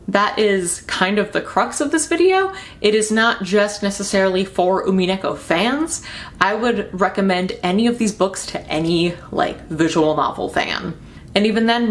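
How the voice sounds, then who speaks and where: A woman's voice: on the low side, gravelly. A young woman talking casually and enthusiastically, rambling through book recommendations with emphatic repeats. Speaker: book reviewer and video host.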